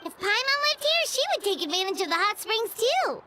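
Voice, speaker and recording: A woman's voice: very high voice, big pitch swings, a little fast, very noisy. A woman voicing a tiny, chatty, childlike fairy companion in a cartoonish game style. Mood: curious. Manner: speaks of herself in third person.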